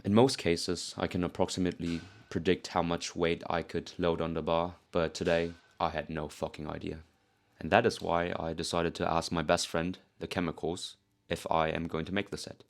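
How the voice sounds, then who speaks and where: A young man from South Korea, speaking in a put-on British-leaning accent. His voice sits low and gravelly with a steady, flat delivery. He narrates a lifting vlog candidly, joking at his own expense and cursing now and then. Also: moderate pace. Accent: put-on British-leaning English accent. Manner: candid and self-mocking, swears casually. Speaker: powerlifting vlogger. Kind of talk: casual voice-over narration.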